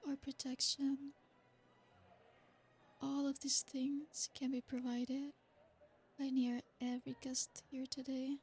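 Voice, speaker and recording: Ancient possessive vampire royal voiced by a woman: high, breathy, unhurried, seductive whispering ASMR tone. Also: exaggerated voice. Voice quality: breathy, soft-spoken. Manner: slow, seductive, drawn-out with whispered asides. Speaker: ASMR creator. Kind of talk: ASMR roleplay.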